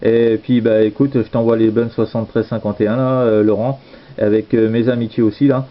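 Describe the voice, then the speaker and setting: A man's voice: lowish voice, level tone, a little fast, muffled recording. A man in casual amateur radio chat, speaking informally and hesitantly, often repeating himself. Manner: hesitant, repetitive, informal phrasing.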